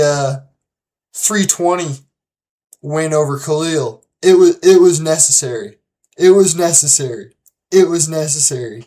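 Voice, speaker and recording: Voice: medium pitch, darkish tone. A channel host giving casual solo commentary and predictions, talking loosely with frequent restarts and repeated words, and turning emphatic when making a call.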